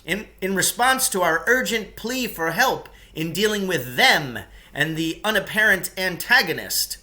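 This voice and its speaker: Storybook cave-dweller host, read by a man: earnest, eager to explain, higher pitched, bright and emphatic. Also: animated, unhurried, full character voice. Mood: urgent.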